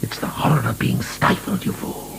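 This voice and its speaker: An actor playing an imprisoned French marquis in a radio drama, an imperious, mocking, obscene libertine with a theatrical, grandiose delivery. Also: male voice, normal speed, whispered, lightly acted. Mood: stifled, defiant. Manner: theatrical, grandiose, chuckling, swinging into shouts. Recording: echoey stone-room radio drama.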